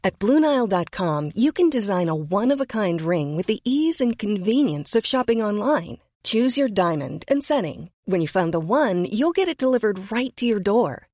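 Woman, medium pitch, expressive intonation, scripted commercial read. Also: dry recording, clean studio sound. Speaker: advertisement voice-over.

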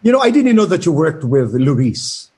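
A man interviewing a guest in a casual way, with quick interjections and follow-up questions. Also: fairly high pitch, big pitch swings, darkish tone, husky. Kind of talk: conversational interviewing.

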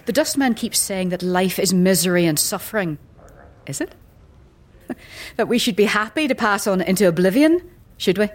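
An actress playing a very old, weary and sardonic priestess in a fantasy audio drama, blunt, with archaic slang and wry chuckles. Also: female voice, medium pitch, animated, moderate pace, natural voice. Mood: troubled, doubting. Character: very old striking woman, sardonic, weary, doubting death-cult priestess.

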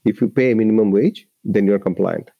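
A man's voice: on the low side, brisk, vocal fry, slightly rough, no room sound, phone-quality sound. A man from Bangladesh, speaking as a labour rights advocate and guest, giving earnest, explanatory interview answers with frequent restarts.

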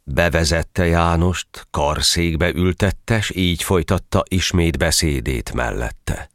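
A man's voice: low voice, no room sound, studio quality. A man narrating a verse tale in a solemn, theatrical storytelling style.

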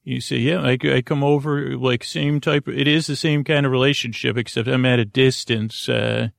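A man with a creaky voice hosting a sleep podcast in a meandering, halting, goofy and self-deprecating monologue. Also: mid-range voice, fairly even intonation, no echo, studio quality. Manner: meandering, halting, trailing off into tangents. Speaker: podcast host.

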